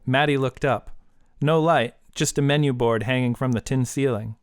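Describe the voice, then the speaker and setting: A man's voice: medium pitch, normal speed, smooth, no echo, clean studio sound. A man reading a short story as scripted audiobook narration.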